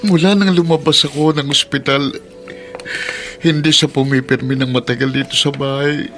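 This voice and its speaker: A man's voice: mid-range voice, steady tone, moderate pace, a bit bright, soft background music, lightly acted. A man playing a despairing, self-pitying middle-aged father with a Filipino accent, acted melodramatically for radio drama. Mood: bitter, suspicious.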